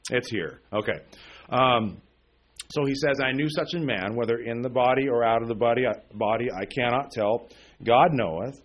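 Brief man, 40s, bible-study preacher, halting delivery, keeps asking "okay?". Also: medium pitch, highs cut off. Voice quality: halting, many fillers and restarts, checks in with "okay?". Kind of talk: bible teaching sermon.

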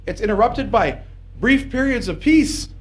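A man's voice: very high voice, lively intonation, unhurried. Preacher guy at a small church pulpit, voice swings up and down a lot, bit of faint hiss behind. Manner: emphatic, rising to insistent peaks, asks the congregation "okay?". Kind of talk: sermon.

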